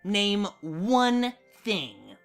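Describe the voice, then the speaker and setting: A woman's voice: mid-range voice, sing-song, drawn-out words, faint music behind, full character voice. A woman voicing a surly, cynical siren in a storybook reading, grumbling in a dismissive, needling way. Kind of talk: storybook fan fiction reading.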